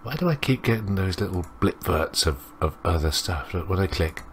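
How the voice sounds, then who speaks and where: A man's voice: sits low, lively intonation, moderate pace, a little hiss or hum. A man reading a visual novel aloud as a let's play host, rambling and interrupting himself with commentary.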